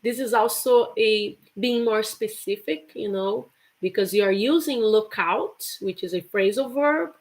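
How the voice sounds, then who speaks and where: A woman's voice: mid-range voice, a little mellow, low-quality recording. Chatty woman teaching English. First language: Portuguese. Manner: chatty, repeats example phrases with laughs. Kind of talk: live online English lesson.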